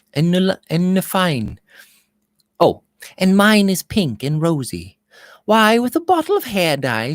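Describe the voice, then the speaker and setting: A man's voice: sits high, no room sound, studio quality, pitched above the performer's own voice. A man voicing a vain, frivolous young lady of a Victorian manor in a gushing, haughty manner. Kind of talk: Victorian novel read aloud.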